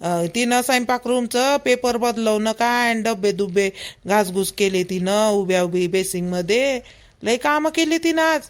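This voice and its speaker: Woman playing a high-voiced, gossipy Vidarbha village mother. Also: normal speed, lightly acted. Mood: fussing, fond. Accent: Vidarbha Marathi. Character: gossipy, fretting village mother of a grown son. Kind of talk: homemade cartoon family dialogue.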